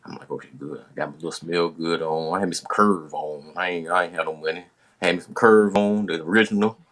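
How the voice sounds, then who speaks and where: A man's voice: low voice, steady tone, fast, a bit bright. An American man hosting a podcast, casually telling stories from his younger days in slangy, profane talk, acting out the dialogue as he goes. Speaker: podcast host.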